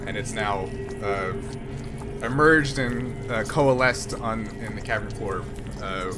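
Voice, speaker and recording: A man running a tabletop game as game master, narrating the scene in a halting way with many fillers. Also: fairly low pitch, over music.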